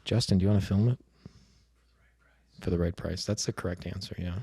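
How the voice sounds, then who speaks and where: Man, comedian guest, low-key deadpan, husky, lowish voice. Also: fairly quick, no room sound. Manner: low-energy, deadpan teasing. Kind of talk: casual podcast chat.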